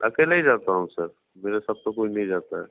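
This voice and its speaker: Male collections agent, fairly low voice, respectful and halting, slightly muffled call recording. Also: animated, creaky, no echo. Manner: deferential, addresses the other as sir. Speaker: loan recovery agent. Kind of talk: phone conversation. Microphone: phone line. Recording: dry recorded phone call, narrow band.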